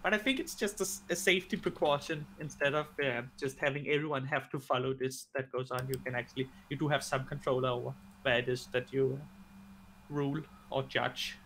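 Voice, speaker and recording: A man's voice: medium pitch, fairly even intonation, brisk, a bit bright, slight background noise, poor audio. A man from Denmark with a Danish accent, a guest in a casual chat who hesitates and restarts his sentences.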